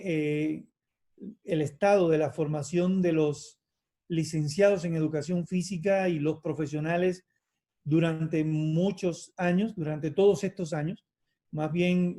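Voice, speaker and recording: A man in his fifties gives a measured academic talk on his field. His voice sits a bit high yet sounds mellow and soft, and he pauses often, restarting phrases with frequent fillers. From Cuba. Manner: hesitant, many fillers and restarts; measured and reflective. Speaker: university lecturer in physical education.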